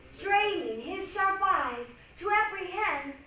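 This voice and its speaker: Recorded from a distance in a very echoey hall, a woman gives a dramatic stage reading. Her voice is high and bright, and her delivery is theatrical and declamatory. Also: expressive, slow. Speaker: reader's theatre performer. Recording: echoey hall, distant mic, faint noise.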